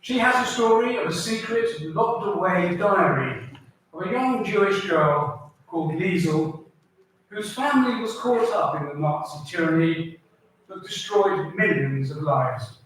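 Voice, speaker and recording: A man's voice: sits high, animated, unhurried, a bit bright, slight background noise. A man hosting a memorial ceremony, introducing speakers formally with a few ums. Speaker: event host. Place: arts centre hall. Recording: echoey hall, distant mic.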